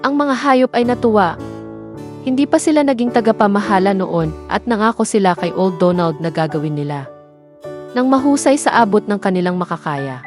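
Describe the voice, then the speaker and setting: Filipino woman storyteller over clear music. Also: medium pitch, lively intonation, moderate pace. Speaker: children's storyteller. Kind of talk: scripted bedtime story narration. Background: music bed.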